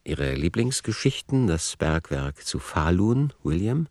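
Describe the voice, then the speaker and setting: An author deliberating over a manuscript in a radio drama. The voice is deep and sits in a dry, close acoustic. The delivery is sober, analytical and essay-like. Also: male voice, big pitch swings, measured pace, a little mellow, no echo, exaggerated voice. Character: analytical male author reasoning aloud over his novel plot. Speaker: author's working-notes voice in a radio play. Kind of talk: essayistic scripted commentary in a radio play.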